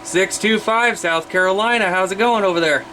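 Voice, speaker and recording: A man's voice: sits high, normal speed. An American man talking casually as a CB radio operator, making contacts over the air. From Iowa, United States. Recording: very noisy radio static and hum.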